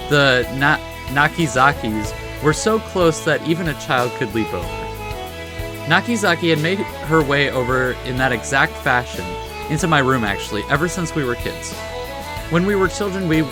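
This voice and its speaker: A let's play host commenting casually on a game and reading its text aloud, a little haltingly, with soft interjections. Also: mid-range voice. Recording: game music behind the voice.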